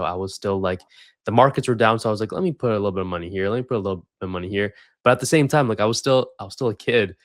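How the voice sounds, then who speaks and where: A man's voice: on the low side, no echo, clean studio sound. Casual young man from Sri Lanka. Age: 20s. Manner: rambling with many fillers and restarts. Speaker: guest. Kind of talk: casual interview storytelling.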